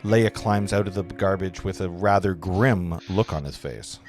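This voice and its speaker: A man's voice: low voice, measured pace. A man reading stage directions as narrator at a script table read, stumbling over words now and then. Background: clear guitar music.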